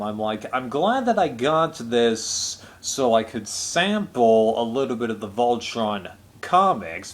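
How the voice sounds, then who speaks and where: A man giving a casual, unscripted review as a reviewer, talking in a rambling, measured way. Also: medium pitch.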